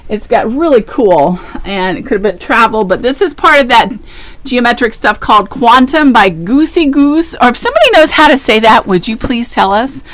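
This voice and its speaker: A woman walks viewers through fabric lines with easy, chatty enthusiasm. Her voice sits a little high, and laughter comes readily. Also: expressive, moderate pace, a bit bright. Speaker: fabric shop co-host. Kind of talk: casual live product showcase.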